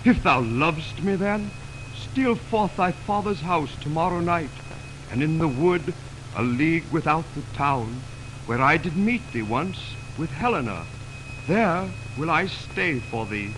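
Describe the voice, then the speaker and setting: Young male romantic lead, high-pitched, declamatory and ardent, Shakespeare on vintage radio, strong background hiss. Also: lively intonation, unhurried, fairly bright tone. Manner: declamatory, theatrical. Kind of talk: 1920s radio Shakespeare acting. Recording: noisy 1929 radio aircheck on film.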